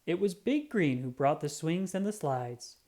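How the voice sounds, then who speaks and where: A woman reading a children's book aloud in an animated, expressive storytelling style. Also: sits low. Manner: expressive, drawn-out emphasis on sound words.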